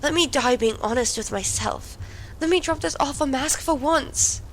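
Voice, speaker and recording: A woman's voice: high-pitched, normal speed, close to an everyday voice. A woman playing an earnest, wounded, devoted boy in an audio drama, speaking imploringly and intimately. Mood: confessing, urgent. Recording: faint background noise and ambience.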